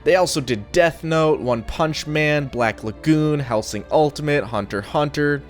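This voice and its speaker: A man's voice: a little high, a little slow. A young man narrating a scripted video review in a casual, blunt, sardonic way. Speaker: anime reviewer. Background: faint music.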